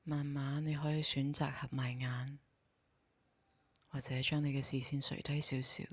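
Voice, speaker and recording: A woman with a Hong Kong Cantonese accent guides a meditation in a soft, breathy voice, speaking calmly and giving gentle instructions. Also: a bass voice, no echo. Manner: slow, calm, gently instructing.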